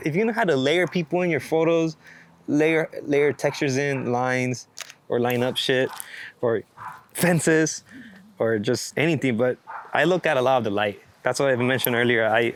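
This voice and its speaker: Guy chatting off the cuff outside, mid pitch, sweary and slangy, noisy ambience behind him. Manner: sweary, rambling, slangy, enthusiastic. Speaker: street and landscape photographer being interviewed. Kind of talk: casual unscripted interview chat. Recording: clear background noise, outdoor ambience.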